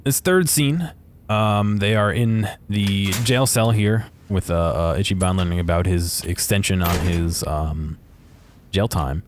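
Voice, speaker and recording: A man's voice: sits low, measured pace, slight background noise. A man giving casual, unscripted video game commentary, hesitantly thinking aloud as he goes.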